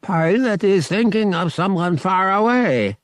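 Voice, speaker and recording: A man voicing a big alien cat-like bodyguard, gruffly friendly, who growls out slow broken English in a storybook reading. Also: sits high, lively intonation, dry recording, pitched above the performer's own voice. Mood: curious, earnest.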